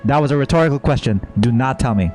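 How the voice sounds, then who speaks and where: A man's voice: medium pitch, lively intonation, fast, a little mellow, soft background music. A man streaming a game with casual, playful live reaction commentary, chatting to his viewers. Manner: playful, chatty, talks to chat.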